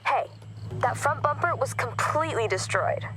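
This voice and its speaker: A woman playing a brisk, helpful car restoration contact in a video game, giving casual, businesslike updates. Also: high voice, lively intonation, sharp tone, faint music behind. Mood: matter-of-fact. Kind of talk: scripted video game dialogue.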